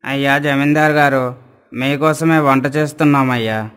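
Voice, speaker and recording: A man's voice: mid-range voice, steady tone, normal speed, a bit bright, clean tone, lightly acted. A man playing an obliging household cook in a cartoon story, speaking respectfully to his master. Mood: eager, grateful. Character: deferential male household cook, eager to please his master.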